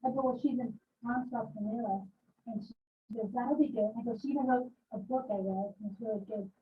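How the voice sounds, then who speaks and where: A woman with a high voice tells a chatty story from the audience. She sounds distant and muffled, with faint noise around her. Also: brisk. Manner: chatty storytelling, quoting others. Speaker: museum intern, audience member.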